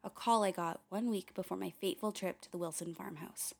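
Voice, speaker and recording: A woman's voice: fairly low pitch, a little fast, gravelly, a little mellow, slightly rough. A woman playing a candid, wry, uneasy young filmmaker who narrates a radio play in a confiding way, with tangents and swearing. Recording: music behind the voice.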